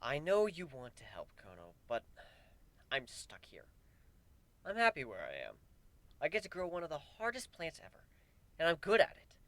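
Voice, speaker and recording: Man voicing weary pegasus grower, lively swooping pitch. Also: normal speed, pauses often, exaggerated voice. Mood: sad, defeated. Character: weary male pegasus plant grower, wry and resigned. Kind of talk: dramatic fan fiction reading.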